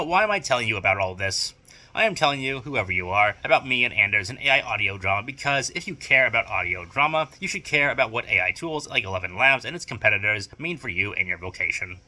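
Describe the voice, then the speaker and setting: A man delivering a scripted, opinionated video essay with emphatic, deliberate phrasing and rhetorical turns. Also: mid-range voice, pushed and bright. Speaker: video essayist and amateur audio drama producer. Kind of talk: scripted monologue to camera or mic.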